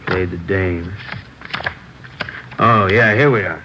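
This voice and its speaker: An easygoing boxing gym boss rambles helpfully in a fairly low voice. He hesitates and drops words in a folksy way, as a character in a vintage radio drama with clear background noise. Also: slow pace. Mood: recollecting. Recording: noisy vintage radio recording.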